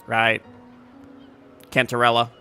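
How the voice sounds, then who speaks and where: A man's voice: medium pitch, monotone, takes it slowly, vocal fry, pushed and bright, smooth. A man giving casual commentary as he streams a game. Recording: faint game music behind.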